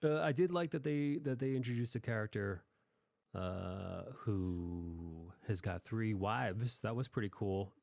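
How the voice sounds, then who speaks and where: A man's voice: on the low side, unhurried, vocal fry, darkish tone, no room sound, clean studio sound. A man hosting a casual chat about anime, talking informally in rambling recaps with some swearing. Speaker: podcast host.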